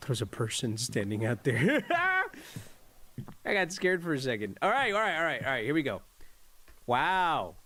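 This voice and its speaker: A man's voice: medium pitch, fairly bright tone, slight background noise. A man streaming a horror game, trash-talking the characters with profane jokes and dropping Spanish slang into his English. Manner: joking, profane trash talk with the game's characters. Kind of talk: casual gameplay commentary.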